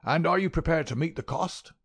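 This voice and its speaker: A man voicing a querulous, miserly little old lord with a sharp crackling voice and a jerky, intense manner. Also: a little high, fairly quick, breathy edge, pitched above the performer's own voice. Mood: indignant, stingy.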